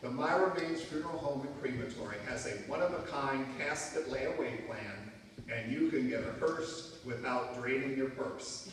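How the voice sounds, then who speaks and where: A man playing a cheerfully morbid, salesmanlike pitchman in a parody radio commercial. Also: moderate pace, lightly acted. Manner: punning, salesmanlike. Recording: echoey hall from far off, noisy.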